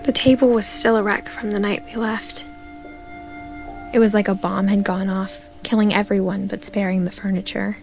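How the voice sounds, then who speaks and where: A soft-spoken young woman recalls her family's old house, as an actress voices her for a game. Her voice has a light gravelly edge, and faint music plays underneath her narration. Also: medium pitch, flat delivery, normal speed, lightly acted. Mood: wistful. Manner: quiet wistful storytelling.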